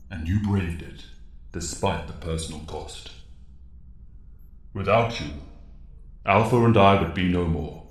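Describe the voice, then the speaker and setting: A man playing a grave, wise alien mentor in an audio drama, speaking in a solemn, formal, measured way. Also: deep voice. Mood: proud, solemn. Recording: echoing, reverberant voice.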